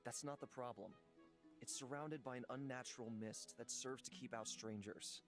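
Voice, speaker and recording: A man voicing a gentle, wise, centuries-old god in human form for a visual novel, calm and measured, as if counselling. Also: mid-range voice, natural voice. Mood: calm, advising. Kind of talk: visual novel character reading. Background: music.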